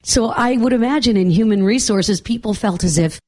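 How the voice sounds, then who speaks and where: A middle-aged woman, hoarse from laryngitis, hosting an interview podcast. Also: medium pitch, a little fast, warm tone, no echo, studio quality. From Boston area. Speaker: podcast host.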